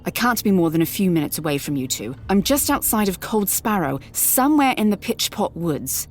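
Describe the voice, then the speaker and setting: Actress as a fretful, exasperated lost psychic. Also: female voice, medium pitch, sing-song, brisk, a little hiss or hum, natural voice. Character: impatient, exasperated female psychic stranded in woods. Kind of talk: naturalistic audio drama. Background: crickets and faint music.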